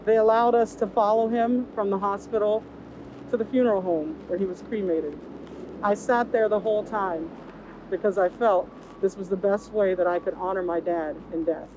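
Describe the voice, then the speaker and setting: Female, average pitch, personal memorial testimony, slight background ambience. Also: fairly even intonation, normal speed, highs cut off, noisy background. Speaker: bereaved daughter giving a testimony.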